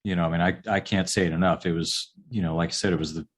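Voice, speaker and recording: A man in his forties, an architect, talking about his schooling in a relaxed, halting way with many pauses and restarts. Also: low-pitched. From upstate New York. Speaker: architect, podcast guest. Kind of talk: casual interview answers.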